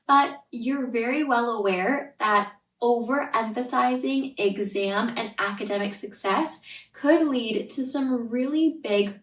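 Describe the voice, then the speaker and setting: A woman's voice: fairly high pitch, a bit bright, recorded from a distance. Earnest Canadian woman, roomy echo. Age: 30s. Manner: earnest, reassuring, emphatic. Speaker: podcast host, tutor and coach. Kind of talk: scripted solo podcast intro.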